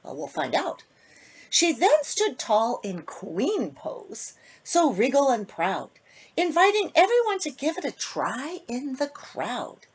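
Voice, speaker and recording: A woman children's author with a slightly husky voice, reading a storybook aloud to young listeners and chatting to them in an animated way. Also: sits high, takes it slowly. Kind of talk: casual intro and expressive storybook reading to children.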